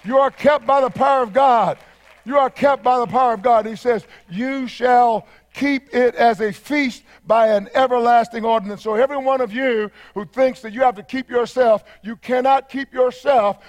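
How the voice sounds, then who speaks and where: A man preaching a sermon emphatically, repeating key phrases and sometimes shouting. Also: very high-pitched, normal speed.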